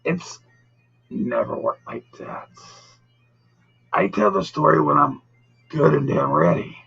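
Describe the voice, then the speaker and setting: A man with a gravelly, raspy voice hosting a live storytelling show, chatting casually with viewers in a drawn-out, theatrical, teasing way. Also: fairly high pitch, lively intonation, a bit bright. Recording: dull lo-fi audio, music behind. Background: music.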